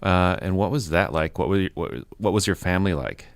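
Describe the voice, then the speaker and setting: Deep-voiced man hosting an interview. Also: fairly quick, smooth, no echo. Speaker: podcast host. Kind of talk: scripted intro then interview. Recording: music bed under intro.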